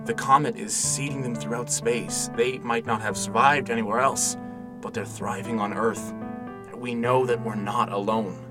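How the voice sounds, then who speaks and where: A man playing a gentle, eager, protective father in an audio drama, speaking softly and haltingly in a naturalistic style. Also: medium pitch, steady tone, distant mic, music in the background, natural voice. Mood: tender, wondering. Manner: hesitant, soft-spoken, stammering when worked up.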